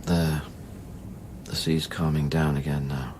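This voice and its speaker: An actor playing a brooding, haunted man of science in a radio play, speaking with measured intensity. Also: a bass voice, little pitch movement, background noise. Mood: haunted, reflective. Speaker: lead actor and first-person narrator. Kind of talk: radio play, intimate literary narration and dialogue.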